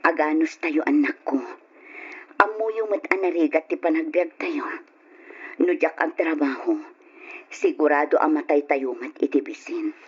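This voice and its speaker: Radio drama role: moralizing Ilocano mother, raspy mid-range voice, dull lo-fi sound. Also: deep voice, moderate pace, pauses often. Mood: sorrowful, firm. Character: gossiping, moralizing wife and sorrowful departing mother.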